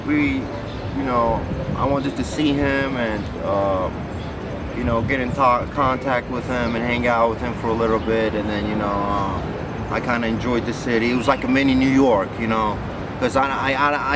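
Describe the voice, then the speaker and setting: Hesitant young man, loud street noise. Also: medium pitch. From Albania. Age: 20s. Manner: hesitant, many fillers and restarts. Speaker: interviewee. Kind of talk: street interview. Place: outdoors by a city street. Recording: loud traffic noise outdoors. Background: passing cars.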